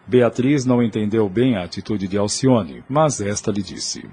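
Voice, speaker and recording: A man with a Brazilian accent narrating a scripted audio drama in a husky voice. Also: fairly low pitch, lively intonation, moderate pace, mellow, a little hiss or hum.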